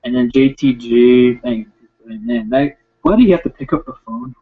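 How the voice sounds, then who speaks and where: A man ranting casually and sarcastically about wrestling, rambling and slipping into quick impressions. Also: mid-range voice, husky. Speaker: wrestling commentator. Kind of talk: casual rant. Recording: tinny phone-like lo-fi sound.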